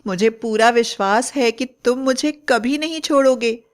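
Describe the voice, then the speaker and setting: A woman's voice: a little high, unhurried, close to an everyday voice. A woman voicing a coaxing, affectionate young wife with an eerie secret, in a storybook tale. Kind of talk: dramatized Hindi storybook acting.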